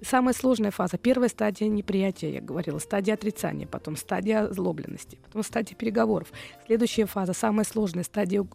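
Woman with a gravelly, hesitant advice voice. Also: mid-range voice, quick pace, faint music behind. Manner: calm, gentle counseling tone with many hesitations. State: slightly ill. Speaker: radio host and psychologist. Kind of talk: radio call-in advice talk. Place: radio studio.

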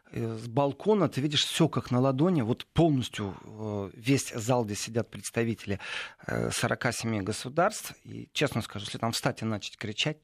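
A man answering interview questions in a relaxed, digressive way, with many fillers and asides. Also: medium pitch, gravelly, no room sound, clean studio sound. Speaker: guest describing parliamentary assembly.

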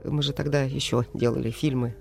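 A woman's voice: extremely low pitch, steady tone, fairly quick, vocal fry, a little mellow. Woman explaining emphatically, music behind her. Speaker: animation film director, studio guest. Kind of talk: explanatory interview talk.

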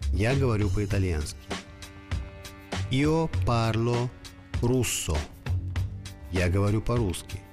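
A man co-hosting a radio show, explaining things in orderly, deliberate phrases. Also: lowish voice. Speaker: radio co-host and language teacher. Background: music behind the voice.

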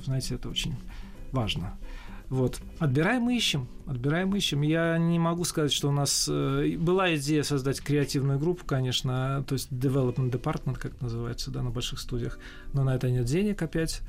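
Reflective man giving halting interview answers. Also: medium pitch. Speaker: animation studio executive, interview guest.

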